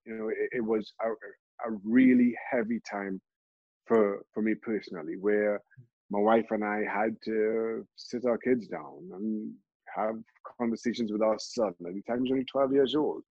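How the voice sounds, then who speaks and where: Halting man from Trinidad and Tobago. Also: fairly low pitch, creaky, no echo, dull audio. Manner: halting, many restarts and repeated words. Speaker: football pundit and show host. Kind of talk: candid interview answers.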